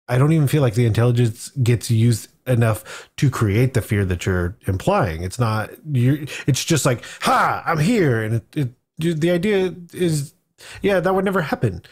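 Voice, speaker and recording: A man's voice: mid-range voice, expressive, moderate pace, a little mellow. A man with a mid-range voice speaks as a panelist on a podcast. His delivery is casual and conversational, as in a discussion among tabletop gamers.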